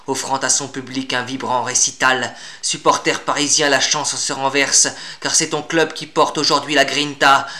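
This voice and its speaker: A man with a bright, forceful voice recites dramatic poetry. His delivery is solemn and grandiloquent, with each line declaimed as formal classical verse at a middle pitch. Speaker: poet reciting own poem.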